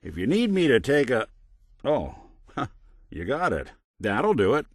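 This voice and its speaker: A man's voice: mid-range voice, huge pitch swings, unhurried, husky, dry recording, heavily acted. A man voicing a weary synth detective in a video game, with an American accent and a dry, sardonic noir delivery. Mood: dry, easygoing. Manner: wry, dry sarcasm, noir detective drawl. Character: weary synth detective, world-worn male android gumshoe. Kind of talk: video game character dialogue. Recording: very dry close studio recording.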